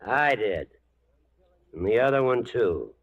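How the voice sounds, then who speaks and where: A man's voice: mid-range voice, very animated, slow pace, heavily acted. A man playing a murderous old outlaw patriarch in a 1940s western radio drama, drawling and menacing. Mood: cold menace. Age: older. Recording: dull 1940s radio broadcast recording.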